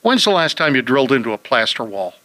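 A man's voice: mid-range voice, big pitch swings, quick pace, pushed and bright, no echo. A man hosting a home-improvement radio call-in show, chatting casually and cracking jokes. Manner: joking, wisecracking.

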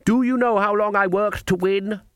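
A man's voice: a little high, animated, slow pace, a bit bright, no room sound, clean studio sound. A man voicing a proud, imposing voodoo woman with a haughty, formal manner in a storybook reading. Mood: haughty, defiant.

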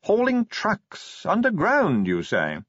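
A cold, dry-witted ruler of a city who drawls each word slowly and flatly, as a man puts him on. The voice is a little husky, and the recording is dry and slightly dull. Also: sits high, dry recording, voice pushed up, heavily acted. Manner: slow, flat, ironic.

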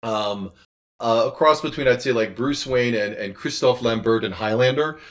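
A man being interviewed as an audio drama creator, talking in a thoughtful, halting, conversational way and circling back over his phrases. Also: mid-range voice.

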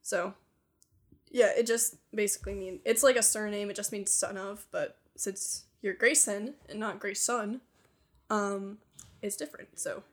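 A woman's voice: medium pitch, steady tone, unhurried. A young woman co-hosting a podcast, chatting casually with lots of fillers and frequent giggles. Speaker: podcast co-host. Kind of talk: casual chat.

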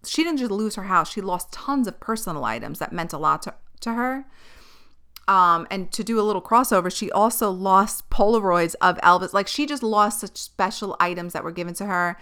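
A woman's voice: mid-range voice, lively intonation, moderate pace, sharp tone, clear, resonant tone. A woman in her thirties hosting a podcast, chatting casually and giving her opinions, with many tangents. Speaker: podcast host. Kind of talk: casual chat.

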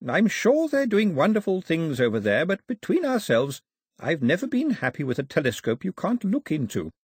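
A man's voice: fairly high pitch, huge pitch swings, moderate pace, breathy edge, no echo, clean studio sound, pitched above the performer's own voice, exaggerated voice. A man doing a chatty, kindly old storyteller. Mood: fond. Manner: gentle, chatty, fond.

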